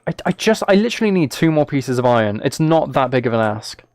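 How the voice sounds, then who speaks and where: A man's voice: mid-range voice, big pitch swings, quick pace, a little mellow, dry recording, clean studio sound. A British man chatting casually on a game livestream, talking to viewers in a mock-exasperated, self-deprecating way. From United Kingdom. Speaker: live streamer.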